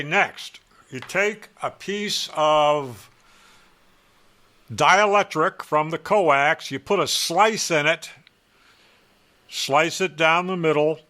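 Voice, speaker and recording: A man narrates a how-to as an opinionated hobbyist. His voice sits a little high and has a bright, forceful edge. He takes his time over each phrase, landing points emphatically with a dry, sarcastic streak. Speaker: ham radio hobbyist presenting a how-to.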